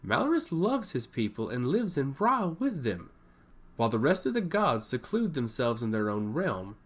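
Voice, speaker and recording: A man's voice: mid-range voice, very animated, moderate pace. A man voicing an earnest, insistent princess who pleads her case in a fantasy story. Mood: defiant.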